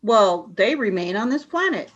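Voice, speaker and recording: A woman's voice: mid-range voice, sing-song, moderate pace, dull audio. A woman voicing a cold, suspicious alien woman leader who speaks in clipped lines in a radio play. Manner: clipped, curt questions and statements. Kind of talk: amateur radio play acting.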